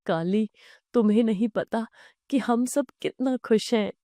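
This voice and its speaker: A woman's voice: fairly high pitch, unhurried, warm tone, no room sound, studio quality. A woman voicing an honest, humble male farmer with an earnest, pleading manner. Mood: tender.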